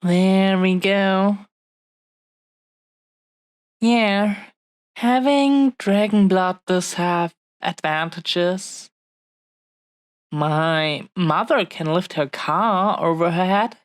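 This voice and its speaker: A woman playing an affectionate, possessive dragon-blooded fiancée in an intimate romantic audio roleplay, speaking tenderly and haltingly to the listener. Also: on the low side, no room sound. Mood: flustered, playful. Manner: tender, halting, lingering over short phrases.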